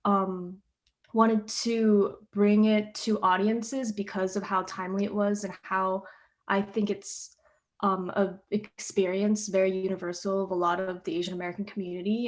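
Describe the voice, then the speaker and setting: Teenage girl answering earnestly. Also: mid-range voice. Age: teens. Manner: earnest, many "um"s and "like"s. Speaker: playwright guest. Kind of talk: panel discussion answers.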